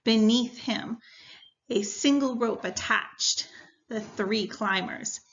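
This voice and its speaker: Female teacher for classroom read-aloud: high-ish, crisp-edged bright tone, unhurried and instructional, on a muffled lo-fi recording. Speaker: teacher reading a story to students. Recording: dull, lo-fi sound.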